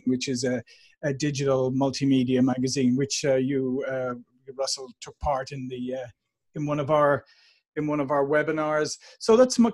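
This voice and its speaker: Animated Irish man from Dublin. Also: mid-range voice. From Ireland. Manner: animated, restarts sentences, frequent "uh". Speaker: podcast guest. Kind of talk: conversational interview.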